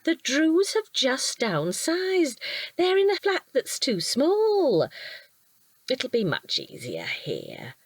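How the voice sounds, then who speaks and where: Cheery british housewife, middle aged, higher voice that bounces about, unhurried, bit of gentle nagging, radio play. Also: female voice, huge pitch swings, no echo, exaggerated voice. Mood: chatty. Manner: chatty, brisk, gently chiding. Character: cheerful chatty middle-aged housewife who loves Christmas. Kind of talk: audio drama acting.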